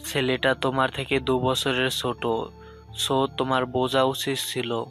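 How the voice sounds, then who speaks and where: A man acts out a police sub-inspector in a romantic audio drama. His mid-pitched voice is flat and even, admonishing in a measured way, with faint music behind. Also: close to an everyday voice. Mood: reproachful. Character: reproachful but lenient police sub-inspector. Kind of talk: Bengali audio love-story drama.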